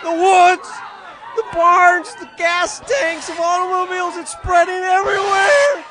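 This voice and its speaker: A man's voice: very high-pitched, crowd noise, background noise. An actor playing a panicked field reporter in a 1930s radio drama, breathless and stammering as he describes an alien attack. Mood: terrified shouting. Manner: breathless, halting, stammering delivery.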